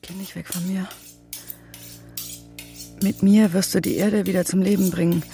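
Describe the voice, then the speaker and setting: An actress playing a desperate, fiercely devoted lover in a poetic radio drama, speaking in insistent, incantatory short pleas. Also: female voice, fairly low pitch, level tone, normal speed, creaky, warm tone, faint music behind. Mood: pleading, visionary.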